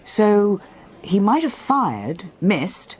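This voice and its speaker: A woman's voice: medium pitch, big pitch swings, very slow, a little mellow, breathy edge, full character voice, rough recording. A woman with a British accent acting in a radio drama as a curious, practical listener who asks terse, probing questions. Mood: inquisitive.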